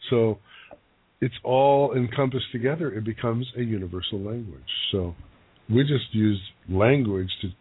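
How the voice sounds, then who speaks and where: A man working as a psychic medium who gives halting, searching readings to callers on a live call-in radio show, with many restarts. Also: fairly low pitch, slightly rough, no echo. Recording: dry, narrow phone-like broadcast sound.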